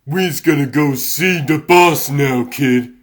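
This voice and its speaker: Streetwise cartoon thug played by a man, fairly high voice, deliberate, blunt threats. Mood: threatening. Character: slangy gangster henchman pushing a kid around. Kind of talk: comic dub cartoon acting.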